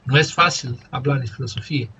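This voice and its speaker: A man whose first language is Portuguese, practicing conversation as a language student and pausing often to search for words. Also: medium pitch, sharp tone, dull audio, a little hiss or hum. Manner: halting, searching for words, many fillers.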